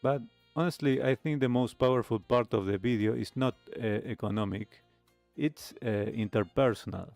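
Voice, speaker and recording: A man hosting a talk show, giving reflective commentary in halting, deliberate phrases. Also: mid-range voice, creaky, a little mellow, no echo, soft background music.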